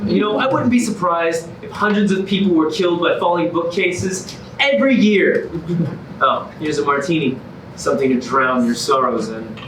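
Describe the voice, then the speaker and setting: A man's voice: fairly high pitch, lively intonation, fairly bright tone, a little hiss or hum. A man playing a gloomy, defensive boyfriend with a morbid streak, theatrical in a staged musical comedy reading. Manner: theatrical, halting when worked up. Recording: echoey room, distant mic, music behind.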